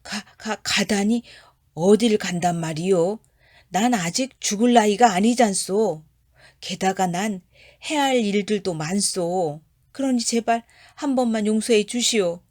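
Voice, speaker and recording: Woman doing a desperate male magistrate, stammering, begging, high-pitched, loud, storybook. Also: a little slow, natural voice. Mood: panicked. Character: panicked pleading male magistrate.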